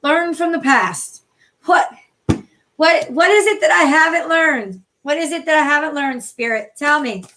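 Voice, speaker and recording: A woman from the New York City area with a hoarse voice, chatting casually and swearing freely as she reads tarot cards live for viewers. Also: high-pitched, unhurried, pushed and bright, a little hiss or hum. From New York City area, USA. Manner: chatty, profane, greets viewers by name. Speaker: tarot card reader hosting a livestream. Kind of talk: casual live-stream chat.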